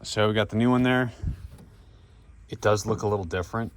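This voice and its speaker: Male DIY vlog host, lowish voice, informal and laid-back, narrating car work over vehicle noise. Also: fairly quick. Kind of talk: casual narration to camera while working. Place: in and around a vehicle. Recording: noisy car and engine ambience. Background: car and ambient noise.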